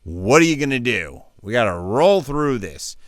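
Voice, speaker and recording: A man's voice: medium pitch, very animated, normal speed, no echo. A man casually walking viewers through setting up his voiceover freelance profile, rambling and interrupting himself with fillers, close to a studio mic. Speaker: voiceover artist giving a tutorial. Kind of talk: casual screen-share tutorial.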